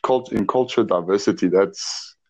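A South African man in his late twenties talking casually in a measured way, with frequent "uh" and "um". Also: a little mellow. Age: 20s. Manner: measured, chatty, slips in "uh" and "um". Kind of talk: casual chat. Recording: muffled low-fidelity audio.

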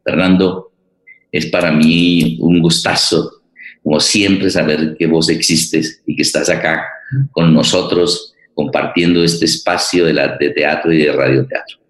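Male radio host, Colombian accent, low register, halting conversational delivery, echoey room. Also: steady tone. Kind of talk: conversational interview hosting.

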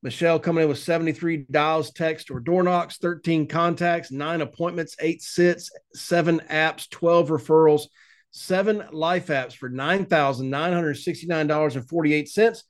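A man's voice: a little high, fairly even intonation, normal speed. A man hosting a team call, reading a scripted intro and sales numbers in an upbeat announcer style. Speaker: company president and call host. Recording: clear guitar music under voice. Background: guitar music.

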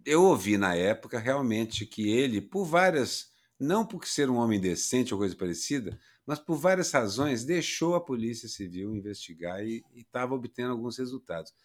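A man's voice: medium pitch, expressive, moderate pace, hardly any pauses. A man from Minas Gerais co-hosting a casual podcast chat, reflective and fond of sayings. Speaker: podcast co-host.

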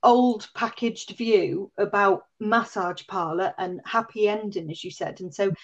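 A woman interviewer with a croaky voice, asking chatty, thoughtful questions. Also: mid-range voice, a little slow, lo-fi sound. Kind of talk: conversational interview.

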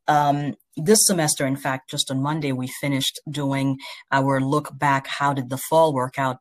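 A university president from Haiti giving thoughtful interview answers in formal academic phrasing, with a measured, earnest manner. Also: mid-range voice.

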